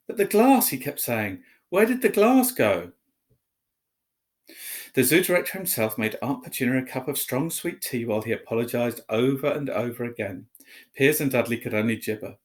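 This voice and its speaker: A man's voice: mid-range voice, fairly bright tone. A man telling a story, reading aloud expressively as a narrator.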